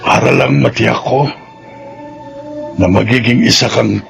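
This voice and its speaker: A grave old Filipino grandfather in a radio drama, full of regret. His voice is extremely creaky, halting between phrases, with music clearly playing behind it. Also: medium pitch, fairly even intonation. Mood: remorseful, solemn.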